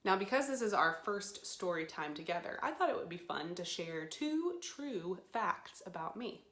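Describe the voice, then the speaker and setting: A woman hosting a storytime for young children, talking to them in an animated way with simple questions and encouragement. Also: fairly low pitch, moderate pace. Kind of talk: children's storytime presenting to camera. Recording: roomy, echoey sound.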